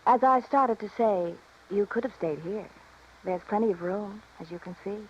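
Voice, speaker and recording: A woman's voice: medium pitch, normal speed, darkish tone, a little hiss or hum, natural voice. Woman acting a coy radio femme fatale, dull recording. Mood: coy, lonely. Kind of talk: 1950s radio drama acting.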